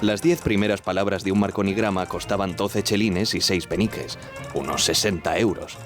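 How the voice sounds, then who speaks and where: Man playing a low-voiced, measured ship's radio operator. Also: steady tone, soft background music, close to an everyday voice. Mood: measured recounting. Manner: measured, formal, explanatory. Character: young British Titanic radio operator, courteous, recounting events. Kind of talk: dramatized first-person testimony in audio fiction.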